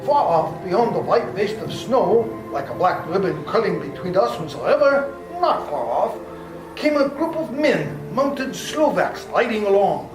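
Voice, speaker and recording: A man performs a commanding vampire hunter in live radio theater. The voice sits high for a man and is urgent and dramatic. It rings in a roomy space, with music underneath. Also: sing-song, unhurried. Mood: tense narration. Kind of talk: old-time radio drama acting. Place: live performance in a public library.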